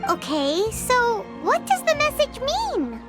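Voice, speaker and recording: Squeaky, tiny fairy sidekick. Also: female voice, slow pace, loud music behind the voice, heavily acted. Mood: puzzled. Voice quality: squeaky, childlike. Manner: eager, inquisitive, expressive. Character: tiny, chirpy, excitable female fairy companion. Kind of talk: scripted video game voice acting.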